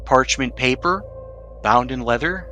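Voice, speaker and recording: A man narrates a tabletop roleplaying game as its game master. His voice is bright and mid-pitched, and he sets scenes in a conversational, descriptive way over faint music. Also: a little slow.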